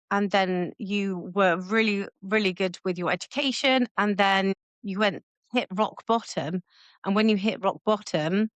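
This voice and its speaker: A woman's voice: medium pitch, no echo. A woman hosting a podcast, reading an intro and asking her guest questions in an earnest way. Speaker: podcast host.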